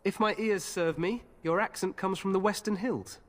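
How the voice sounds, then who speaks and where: A man's voice: sits high, moderate pace, close to an everyday voice. An actor playing a jovial, overconfident young king in a fantasy video game, with a hearty, regal manner. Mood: cheerful, welcoming.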